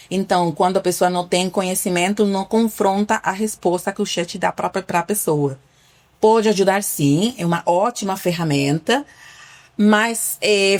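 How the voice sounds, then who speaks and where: Cuban-accented woman doctor, earnest. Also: mid-range voice. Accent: Cuban Spanish. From Cuba. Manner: earnest, explains with patient examples, some restarts. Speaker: family doctor. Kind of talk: podcast interview.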